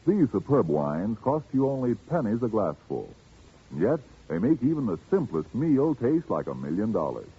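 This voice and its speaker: Man, 1940s radio commercial announcer, persuasive sales pitch, husky low voice, dull old broadcast. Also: big pitch swings, normal speed.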